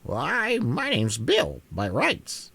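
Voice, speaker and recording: A sharp, brisk little hen, bossy and sure of herself, as a man puts her on in a storybook reading. The voice swoops high and wide, with a gravelly, husky edge. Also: huge pitch swings, dry recording, exaggerated voice.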